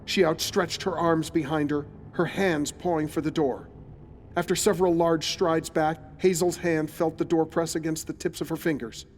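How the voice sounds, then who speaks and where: A man's voice: a little high, steady tone, moderate pace, slight background noise. A man narrating a scripted audio horror drama in a suspenseful, dramatic storytelling manner.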